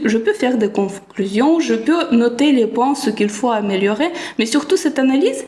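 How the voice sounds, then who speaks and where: A woman with a fairly high voice talks in a thoughtful, searching way, sometimes restarting a thought mid-sentence. She speaks as a self-development vlogger reflecting on herself. The recording carries a noticeable echo from the room. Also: a little mellow. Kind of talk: personal reflective vlog talk.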